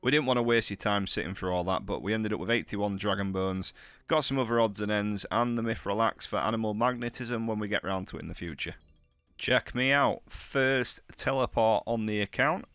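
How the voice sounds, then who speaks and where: A man's voice: on the low side, a little fast, a bit bright, smooth, no room sound. A man with a heavy cold, talking through his gameplay in a chatty, upbeat way, full of slang. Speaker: gaming channel host. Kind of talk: gameplay commentary.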